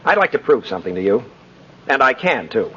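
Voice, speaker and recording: An actor playing an idealistic, persuasive lawyer, earnest and emphatic, in 1950s radio drama style. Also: male voice, medium pitch, big pitch swings, brisk, fairly bright tone, slightly rough. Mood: enthusiastic. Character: idealistic, persuasive young male defense lawyer. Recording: noisy, dull 1950s radio recording.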